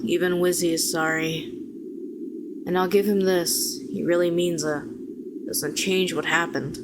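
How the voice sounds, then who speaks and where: A woman playing a weary, bitter telepathic villain who was once a hero, speaking to the listener in a sardonic, deliberate way in an audio roleplay. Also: lowish voice, very noisy, lightly acted. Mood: weary, condescending. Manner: deliberate, sardonic, rhetorical questioning, condescending endearments.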